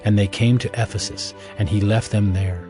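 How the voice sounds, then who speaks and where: A man narrating scripted audio Bible scripture as a measured, solemn storyteller. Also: on the low side, steady tone, music in the background.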